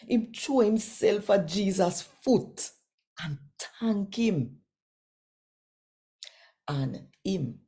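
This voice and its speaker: A woman with a Jamaican accent, reading scripture aloud and addressing viewers in an expressive storytelling way with deliberate pauses. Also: sits low, creaky.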